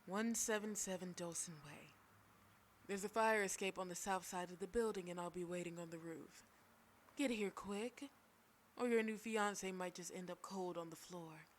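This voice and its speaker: A woman's voice: on the low side, normal speed, a little hiss or hum, lightly acted. A woman voicing a cocky, flirtatious female mafia boss who gives orders in a smug, teasing way. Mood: low, menacing. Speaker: audio roleplay performer. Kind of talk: scripted audio roleplay.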